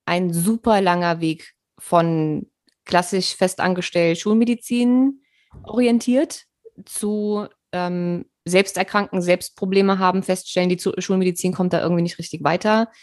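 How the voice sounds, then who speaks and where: A woman hosting a podcast, talking in an animated way and often repeating words as she goes. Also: fairly low pitch, unhurried, no echo, clean studio sound. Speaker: podcast host. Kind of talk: casual podcast conversation.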